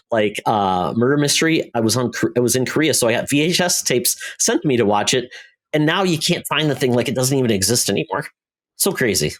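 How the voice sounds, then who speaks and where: A middle-aged man talks as a podcast co-host in an average-pitched voice. He muses casually, piecing his thoughts together in short, halting bursts. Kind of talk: casual conversational podcast chat.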